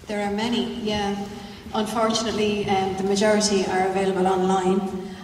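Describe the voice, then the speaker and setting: A woman's voice: mid-range voice, little pitch movement, normal speed. A woman answering at a panel discussion, rambling and full of "you know" asides. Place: large hall at a festival panel. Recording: roomy hall, distant mic, faint noise.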